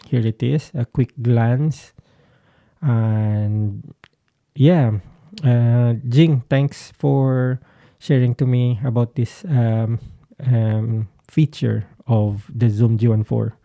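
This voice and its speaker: A man's voice: mid-range voice, steady tone, extremely slow, vocal fry, warm tone, no room sound. A man giving a casual explanation as a guitarist vlogger, hesitant and searching for his words. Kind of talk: casual explanatory talk to camera.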